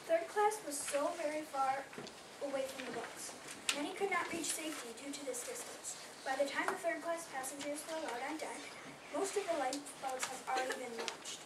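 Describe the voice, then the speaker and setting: Female narrator, high voice, scripted reading, distant and echoing. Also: normal speed. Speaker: readers theater narrator. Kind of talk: scripted narration read aloud. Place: library readers theater performance, indoors. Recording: echoey, distant, very noisy room.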